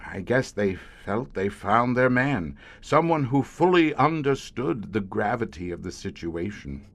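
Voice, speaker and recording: An actor playing a grim veteran scientist who heads a secret monster-hunting squad in an audio horror drama, speaking in a deliberate, erudite, brooding storyteller's manner. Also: male voice, on the low side, big pitch swings, gravelly, exaggerated voice. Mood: measured, confiding.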